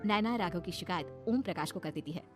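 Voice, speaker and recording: A woman's voice: on the low side, a little fast, over loud music. A woman reading scripted narration as a storyteller.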